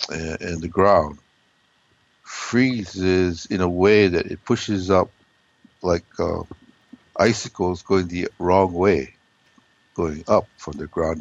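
A man with a hoarse, froggy voice, chatting loosely as a regular guest and rambling with many ums and uhs. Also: sits low, no echo, muffled recording. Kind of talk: casual conversation.

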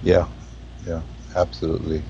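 A man with a Hawaiian accent talking in a spiritual conversation, slow and halting as he searches for words. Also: deep voice, completely flat delivery, vocal fry, dull audio, background noise. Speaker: guest.

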